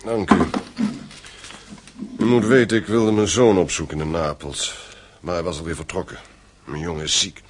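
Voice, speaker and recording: An actor playing a middle-aged gentleman in a radio play, an anxious, gruff father with a weary, urgent manner. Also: deep voice, steady tone, normal speed, a little hiss or hum, lightly acted.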